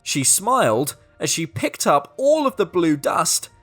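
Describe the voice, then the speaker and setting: Slow, very animated woman storyteller. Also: on the low side, faint music behind. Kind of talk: expressive scripted children's story narration.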